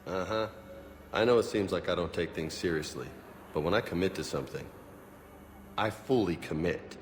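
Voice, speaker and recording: A man's voice: deep voice, normal speed, a little hiss or hum, close to an everyday voice. A man playing a cocky, eager young marine lieutenant in a video game, casual, swaggering and playful. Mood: cocky, earnest.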